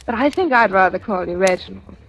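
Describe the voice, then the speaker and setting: A woman's voice: medium pitch, expressive, brisk, vocal fry. An actress playing an eager, kind-hearted young woman in 1940s radio drama, chatty and tentative in her asides. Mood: bright, excited. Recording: noisy old radio broadcast.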